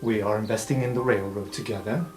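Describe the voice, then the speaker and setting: A man's voice: on the low side, fairly even intonation, quick pace, music in the background, pitched below the performer's own voice, close to an everyday voice. A man voicing a wry, ailing older female captain who talks bluntly and teasingly in a tabletop game. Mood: candid.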